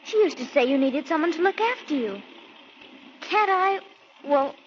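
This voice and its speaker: High-voiced sweet little daughter in radio drama. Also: female voice, expressive, moderate pace. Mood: pleading. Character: eager, clinging young daughter of a Marine officer. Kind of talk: 1940s radio acting. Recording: dull, noisy 1940s radio recording.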